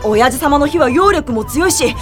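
A woman's voice: high-pitched, animated, normal speed, music in the background. A woman playing an earnest, polite and anxious young lamp-keeper spirit in an audio drama, easily flustered. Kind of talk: Japanese audio drama acting.

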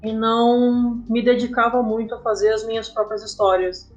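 A woman's voice: a little high, flat delivery, normal speed, lo-fi sound. A Brazilian woman speaking reflectively and personally as a guest in a casual interview. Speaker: guest, comic artist. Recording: music playing behind the voice. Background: background music.

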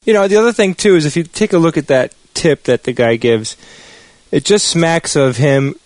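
A man's voice: a little high, moderate pace, no echo. A man on a podcast panel speaking in a blunt, sardonic way. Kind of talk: casual panel discussion.

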